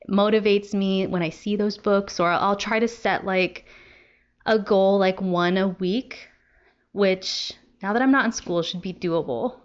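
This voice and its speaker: A woman's voice: medium pitch, measured pace, lo-fi sound. A young adult woman talking casually to viewers as a book vlogger. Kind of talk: casual chat to camera.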